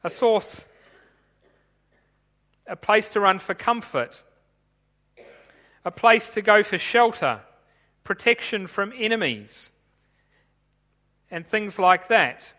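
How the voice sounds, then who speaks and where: Guy preaching from his notes, kinda high bright voice, big echoey church. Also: expressive. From Tanzania. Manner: measured, explanatory, reading from notes. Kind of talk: sermon.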